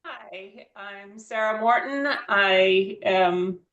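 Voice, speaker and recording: Woman from New England, slow, hesitant, video call. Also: mid-range voice, fairly bright tone, lo-fi sound. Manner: brief, hesitant. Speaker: board applicant. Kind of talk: self-introduction at a meeting.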